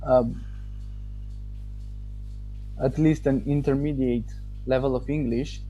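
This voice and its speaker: Mellow-voiced man, halting and thoughtful. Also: mid-range voice, steady tone, dull audio, faint music behind. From Romania. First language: Romanian. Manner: thoughtful, halting, with restarts and pauses. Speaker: student giving a testimonial. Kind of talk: casual interview answers.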